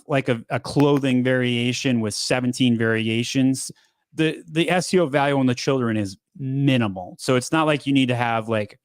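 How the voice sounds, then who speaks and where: A man in his thirties hosting a casual live Q&A from a home studio, upbeat and quick, often repeating words as he talks. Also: mid-range voice, no room sound. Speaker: host. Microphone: studio mic on boom arm.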